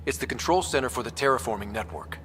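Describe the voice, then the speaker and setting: A man's voice: medium pitch, lively intonation, fast, a bit bright, natural voice. An actor playing a determined, wry young man who leads explorers in a sci-fi video game. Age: young adult. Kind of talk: naturalistic video game cutscene acting. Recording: long echoey reverb, faint music behind.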